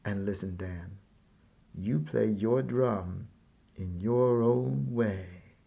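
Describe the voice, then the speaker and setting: A man voicing a stately, approving army general in a storybook, measured and solemn. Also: fairly low pitch, creaky, slightly rough, highs cut off, exaggerated voice. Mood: solemn approval.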